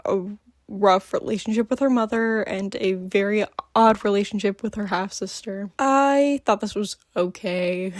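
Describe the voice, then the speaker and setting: A woman's voice: mid-range voice, no room sound. Brief: just-woken woman vlogger, chatty book recap, dry close sound. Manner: gushing, filler-heavy, many ums. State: just woke up. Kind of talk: casual book review chat.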